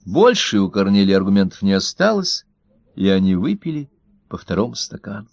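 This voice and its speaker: A man narrating an audiobook in an expressive, ironic storytelling manner, on a dull, dry recording. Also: fairly low pitch, fairly quick, vocal fry, no room sound. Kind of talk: audiobook reading.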